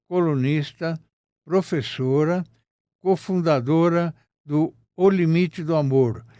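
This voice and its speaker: A man's voice: a little high, a little mellow, no echo, studio quality. A man hosting a podcast interview, courteous and formal in his introductions. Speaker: podcast host.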